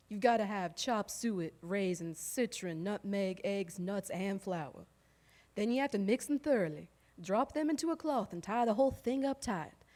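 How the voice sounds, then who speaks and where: A woman's voice: medium pitch, darkish tone, natural voice. An actress performs a harried old-time Southern household woman in a live stage monologue. She is wry and proud, with a mid-range voice, and dwells emphatically on each detail as she reminisces. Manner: wry, emphatic, lingering over lists. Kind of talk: theatrical storytelling monologue on stage.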